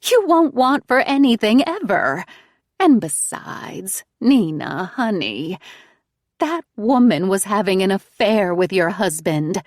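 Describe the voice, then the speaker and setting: A woman voicing a manipulative, self-pitying middle-aged mother who speaks in a crooning, wheedling way, raised in pitch and volume above her narration. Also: very animated, slow, a little mellow, no room sound, clean studio sound.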